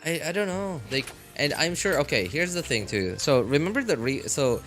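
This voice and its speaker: A man's voice: fairly high pitch, big pitch swings, moderate pace, fairly bright tone. A man chatting casually on a livestream as a game streamer, rambling in slangy talk and often repeating his phrases. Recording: music behind the voice. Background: background music.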